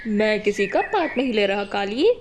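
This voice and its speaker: A woman voicing a mild, henpecked male crow husband who reasons and remonstrates in an animated children's story. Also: mid-range voice, moderate pace, noisy background, pitched below the performer's own voice, lightly acted. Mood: reproachful.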